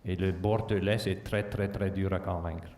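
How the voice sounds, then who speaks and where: A farmer, a man whose first language is not French, answering audience questions in a plain, halting way. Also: low voice, steady tone, darkish tone, a little hiss or hum. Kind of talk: Q&A at a conference. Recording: echoey hall, distant mic.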